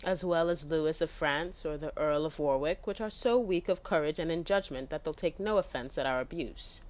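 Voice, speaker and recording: A woman's voice: low voice, moderate pace, darkish tone, natural voice. A woman acting a resentful, defiant royal younger brother with pointed, formal verse delivery in a Shakespearean audio drama. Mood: sullen, disapproving.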